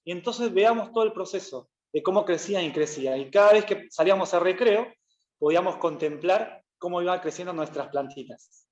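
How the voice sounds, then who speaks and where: A pastor gives a loose, conversational sermon, stopping to correct himself and filling gaps with "eh". His voice sits a little high for a man. It is caught in a roomy church with a slightly dull, muffled sound. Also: brisk. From Peru. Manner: relaxed, chatty, frequent "eh" fillers and self-corrections. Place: church hall.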